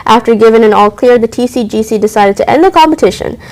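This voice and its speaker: A teenage girl anchoring a school news show, reading announcements briskly with occasional stumbles. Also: on the high side, a little mellow. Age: teens.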